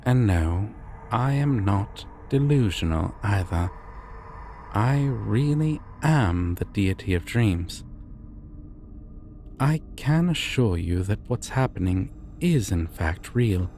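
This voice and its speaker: A man acts out a polite, calmly mischievous god of dreams in an intimate audio roleplay. His voice is on the low side and moves with lively inflection, with formal phrasing and deliberate pauses. Faint cricket-like ambience sits behind him. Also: slight background noise. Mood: composed, grand. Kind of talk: immersive roleplay addressed to the listener.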